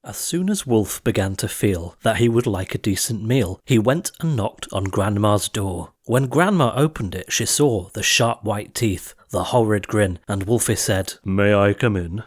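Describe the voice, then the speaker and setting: A man's voice: on the low side, lively intonation, measured pace, few pauses, slightly rough. A man reading scripted storybook verse as an audiobook narrator, with theatrical, rhythmic delivery.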